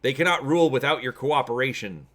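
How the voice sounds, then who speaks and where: A man's voice: medium pitch, expressive, fast talker, a bit bright, pitched above the performer's own voice. Man voicing a defiant, charismatic rebel orator.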